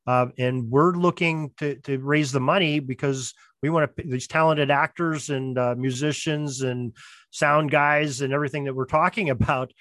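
A man speaking as a podcast guest, explaining things casually and haltingly, rephrasing as he goes. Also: on the high side, steady tone, fairly bright tone, dry recording. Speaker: podcast guest, audio drama producer. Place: remote call on a computer.

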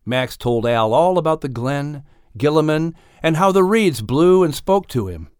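A man narrating an audiobook story in an expressive, scripted storytelling style. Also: on the high side, a little slow, clear, resonant tone, no room sound, studio quality.

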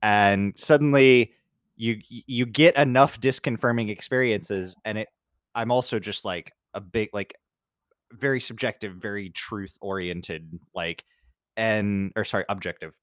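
A man with a fairly low voice, recorded very dry, chats casually as an interviewer. He speaks hesitantly, often restarting a thought, and has a self-deprecating way about him. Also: a little slow, no echo. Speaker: interviewer, host. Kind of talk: casual chat.

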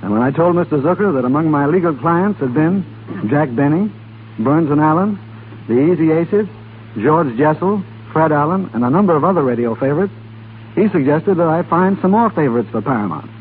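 A man's voice: on the high side, lively intonation, fairly quick. An American man as a guest in a scripted 1930s radio interview, reading his answers stiffly. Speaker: studio talent executive as radio guest. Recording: tinny, hissy 1930s radio broadcast.

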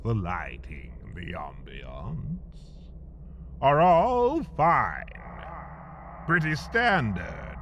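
Male storyteller, unhurried and hugely animated, theatrical with dry wit, for scripted comedy; slightly dull sound over faint ambience. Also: on the high side, a little hiss or hum. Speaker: podcast host and narrator. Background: faint ambient sound effects.

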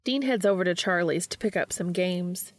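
A woman's voice: on the low side, moderate pace, music in the background. A woman narrating a fiction audiobook from a script.

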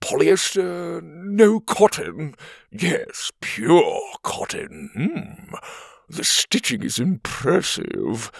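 A man's voice: fairly high pitch, very animated, vocal fry, dry recording, clean studio sound, voice pushed up, heavily acted. A man doing a haughty giant chicken. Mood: appraising. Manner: drawn-out, haughty, slow and swooping. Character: giant fussy male chicken chief, vain fashion critic. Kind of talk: storybook character voice.

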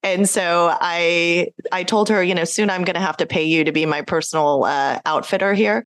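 A woman's voice: on the low side, steady tone, normal speed, no room sound. A woman hosting a career podcast, talking enthusiastically with her guest. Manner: enthusiastic, talkative. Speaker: podcast host. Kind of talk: podcast interview.

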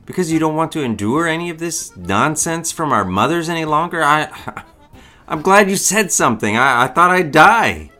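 An actor playing a devoted, proud young fiancé who is wounded, in a romantic period audio drama, with polite, emotive, halting delivery. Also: male voice, on the high side, expressive, bright, forceful tone, faint music behind. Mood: bewildered.

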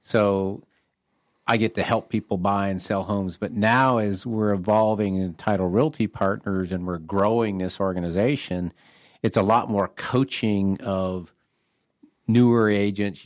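A man's voice: on the low side, a little mellow, no room sound, studio quality. A man hosting an interview, encouraging his guest with short affirmations and asking follow-up questions. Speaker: podcast host, real estate broker. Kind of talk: conversational interview.

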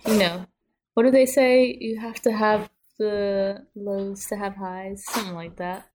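A woman's voice: mid-range voice, fairly even intonation, measured pace, background noise. A woman chatting casually as a guest on a podcast. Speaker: podcast guest, fiction podcast creator. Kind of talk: casual chat.

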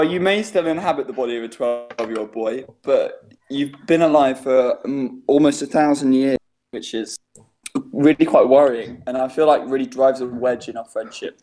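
Mellow-voiced English teenage podcaster. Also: male voice, mid-range voice, normal speed, slight background noise. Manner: laughs a lot, swears casually, rambling. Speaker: podcast host. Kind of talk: casual comedy podcast chat. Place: home during quarantine.

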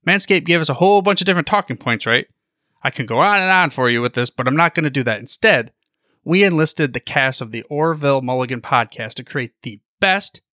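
A man's voice: medium pitch, bright, forceful tone, no echo, studio quality. A man hosting a podcast, reading a sponsor spot casually with folksy, emphatic enthusiasm. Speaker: podcast host.

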